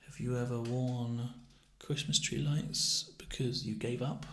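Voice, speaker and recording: Soft-spoken British man doing hesitant ASMR roleplay. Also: medium pitch, flat delivery, roomy sound. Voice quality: soft-spoken, often dropping into near whisper. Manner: hesitant, gently awkward, halting delivery. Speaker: ASMR roleplayer playing a festive assessment clerk. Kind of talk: ASMR roleplay, improvised personal-attention chat.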